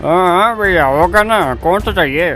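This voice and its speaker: A man's voice: sits high, lively intonation, measured pace, bright, forceful tone, faint music behind, exaggerated voice. A man playing an agreeable, reassuring shopkeeper in a dramatized story. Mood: obliging. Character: obliging, reassuring male shopkeeper.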